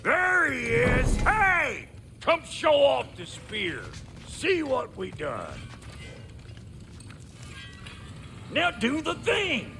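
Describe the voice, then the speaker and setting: A man with an American accent voicing a gruff, brash, foul-mouthed dwarf blacksmith in a video game, gravelly, folksy and blunt. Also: very high-pitched, wildly swooping pitch, slow, over music, heavily acted. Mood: boisterous. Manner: folksy, blunt, colourful made-up slang. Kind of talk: video game character acting.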